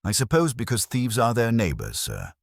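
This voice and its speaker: A man voicing a tense, brisk police sergeant who is deferential, in an audio mystery story. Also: medium pitch, huge pitch swings, vocal fry, no room sound, clean studio sound, pitched above the performer's own voice, exaggerated voice. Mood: frowning, uncertain.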